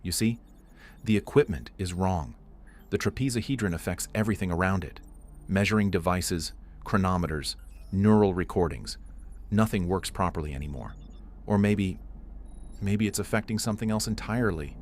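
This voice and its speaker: An actor playing a paranoid, haunted scientist slipping into madness in an audio drama. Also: male voice, low voice, normal speed, slight background noise, natural voice. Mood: obsessive, urgent.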